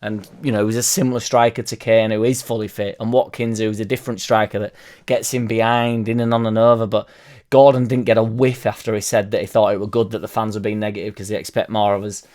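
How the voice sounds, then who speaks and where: A young man, hoarse from losing his voice, ranting casually about football with loud bursts and swearing. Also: fairly low pitch, flat delivery, quick pace. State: hoarse, partly lost voice. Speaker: football fan vlogger. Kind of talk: casual rambling fan vlog.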